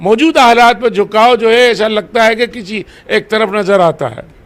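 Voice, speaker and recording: A man answering interview questions as head of a religious organisation, deliberate and formally worded. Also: high voice.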